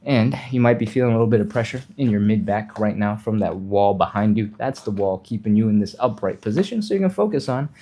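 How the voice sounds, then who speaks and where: A man's voice: lowish voice, animated, quick pace, few pauses, dark tone. A man with a slightly nasal voice and a mild cold, casually coaching a live stretching session step by step. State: mild cold, a little sniffly. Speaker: fitness instructor, livestream host.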